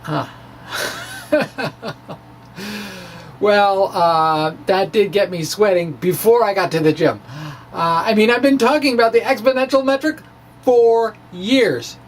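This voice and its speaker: Halting, wry man over background noise. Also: sits high. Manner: hesitant, halting, conversational storytelling. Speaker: amateur physicist and blogger. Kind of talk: dramatic reading of a personal science blog.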